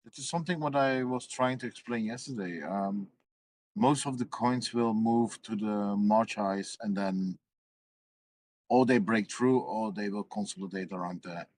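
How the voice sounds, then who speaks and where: Man, casual chat, explanatory, somewhat low voice, dry recording. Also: a little slow, a little mellow, no echo. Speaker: stream participant.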